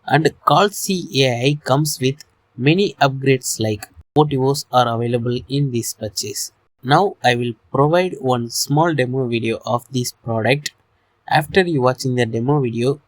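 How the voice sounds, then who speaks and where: A man's voice: mid-range voice, dry recording. A man reading scripted promotional narration as a product reviewer.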